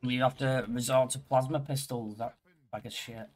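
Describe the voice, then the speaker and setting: A man's voice: mid-range voice, normal speed. A man, chatting and laughing while streaming a shooter game. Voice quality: raspy. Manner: excitable, laughing, swearing. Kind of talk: casual gaming banter.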